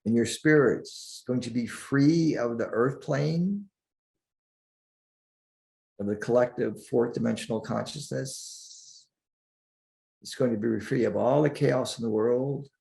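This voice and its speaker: A man guiding a sleep meditation in a soothing, deliberate manner, leaving long pauses between short phrases. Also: medium pitch, vocal fry, darkish tone, poor audio. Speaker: meditation guide.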